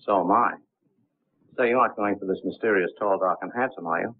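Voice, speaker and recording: An actor playing a wry, gentlemanly suitor in a 1930s film. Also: male voice, lowish voice, fairly quick. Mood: wounded, wry. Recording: tinny, band-limited 1930s film soundtrack.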